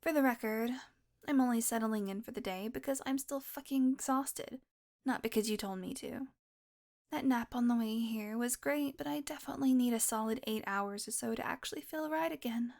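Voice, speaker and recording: A sly female vampire, acted by a woman for an intimate audio roleplay. Her voice sits high and she talks in a sarcastic, flirtatious way, slipping into soft murmurs. She sounds hungry, cheeky and defiant. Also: normal speed. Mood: wry, defiant. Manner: flirtatious, sardonic, dropping into breathy murmurs.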